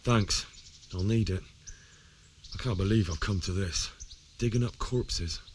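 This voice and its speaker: An actor playing an earnest, honest young village lad in a medieval video game, speaking plainly and with principle. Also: male voice, fairly low pitch, measured pace, slight background noise, close to an everyday voice. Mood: resigned. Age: young adult. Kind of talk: video game dialogue acting.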